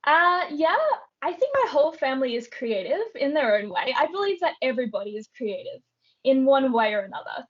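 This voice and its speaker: Young aussie woman, kinda high voice, chatty and thoughtful, bit muffled audio. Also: expressive, fairly quick. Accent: Australian. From Australia. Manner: thoughtful, with frequent ums and light laughs. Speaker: guest artist. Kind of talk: casual interview answers.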